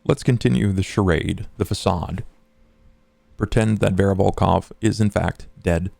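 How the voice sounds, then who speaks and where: Man playing a gravelly, suave, scheming confidant. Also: low-pitched, fairly even intonation, darkish tone, dry recording, heavily acted. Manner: formal, measured, wordy. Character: suave, scheming, courteous male business confidant. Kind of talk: in-character tabletop roleplay.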